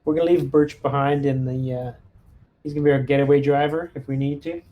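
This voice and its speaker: A man's voice: mid-range voice, steady tone, brisk, highs cut off. A man playing a tabletop roleplaying game, casually talking through his squad's next moves over some background noise. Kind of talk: casual in-game planning chat.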